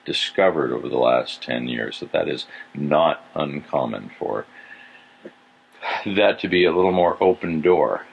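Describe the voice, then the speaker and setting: A man's voice: a bass voice, animated, a little slow, creaky, husky. A man from California, a pastor hosting a podcast, musing and thinking aloud in casual conversation. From California, USA. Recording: dull lo-fi sound.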